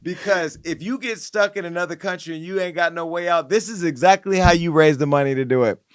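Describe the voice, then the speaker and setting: Laughing, gossipy podcast host. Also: male voice, on the high side, brisk, clean tone, dry recording, studio quality. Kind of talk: casual chat.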